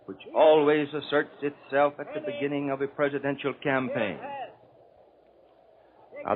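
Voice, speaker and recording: An American man giving formal political commentary on 1930s radio, speaking in measured, emphatic, oratorical phrases. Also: a little high. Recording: old 1930s radio broadcast, narrow tinny band.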